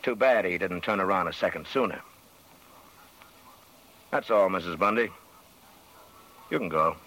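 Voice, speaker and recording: An actor playing a measured, matter-of-fact police sergeant, suspicious and unmoved, in an old-time radio drama. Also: male voice, low voice, lively intonation, sharp tone, full character voice, rough recording. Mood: dry, suspicious. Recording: vintage radio drama recording.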